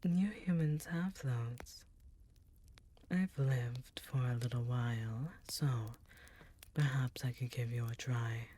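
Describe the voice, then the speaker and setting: A woman voicing an ancient succubus queen, tender and soothing, in a soft, breathy, intimate ASMR roleplay. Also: a bass voice, full character voice. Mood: wistful storytelling. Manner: slow, intimate, reassuring.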